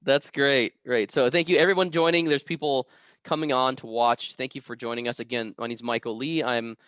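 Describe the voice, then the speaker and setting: A man from the United States hosting an informal online interview as the interviewer, speaking in a halting, hesitant way. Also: mid-range voice, fairly even intonation, a bit bright, smooth, no room sound.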